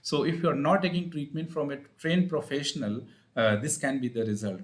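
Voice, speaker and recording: A man with an Indian accent giving an informal live explanatory talk as a speech therapist, in a halting, repetitive, teacherly manner. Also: fairly high pitch, steady tone. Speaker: speech-language pathologist hosting an advice livestream.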